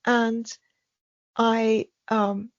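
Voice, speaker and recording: A soft-spoken woman being interviewed, speaking haltingly with many ums and restarts. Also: on the high side, level tone, no room sound, muffled recording. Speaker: podcast guest. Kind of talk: casual interview conversation.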